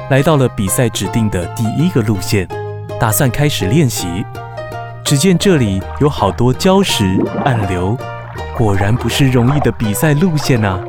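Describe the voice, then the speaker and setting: A man narrating a scripted children's story in an expressive storyteller's way. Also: medium pitch. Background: music.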